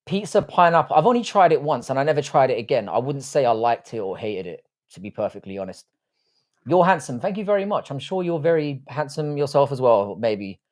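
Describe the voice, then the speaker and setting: A relaxed man in his thirties with a South London accent hosts a late-night stream from his desk mic. He chats easily, answers viewers' comments and swears freely. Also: medium pitch, a little fast. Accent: South London English. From Ireland. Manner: laid-back, chatty, swears freely. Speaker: livestream host. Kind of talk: casual livestream chat reading viewer comments.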